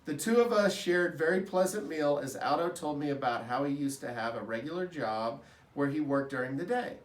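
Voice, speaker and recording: A man giving an expressive reading of a children's story. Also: on the high side, moderate pace. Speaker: storybook reader at an elementary school. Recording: roomy echo, distant microphone.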